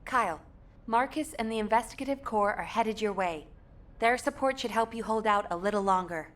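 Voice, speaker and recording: An actress playing a concerned but firm military officer in a video game. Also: female voice, medium pitch, brisk, natural voice.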